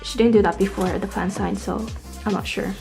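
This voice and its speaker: Young woman from poland vlogging, english, chatty and informal, relaxed mellow voice, bit of music behind. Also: medium pitch, a little fast, vocal fry. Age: young adult. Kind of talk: casual storytelling to camera.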